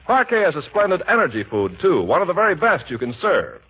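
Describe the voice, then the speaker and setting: A man announcing a 1940s radio show in a polished, emphatic sponsor-pitch style. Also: wildly swooping pitch, a little fast. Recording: muffled, raspy 1940s radio recording.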